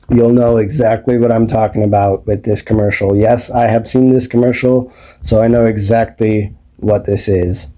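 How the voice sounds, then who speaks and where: A man talking casually as a video host, explaining things step by step in a rambling, unhurried way. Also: on the low side, dark tone. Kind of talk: casual explanatory vlog talk. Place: indoors at home, behind the camera.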